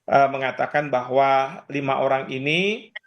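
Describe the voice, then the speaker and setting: A man giving expert analysis in an interview, explaining in a halting way. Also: medium pitch, dull audio. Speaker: expert guest, professor.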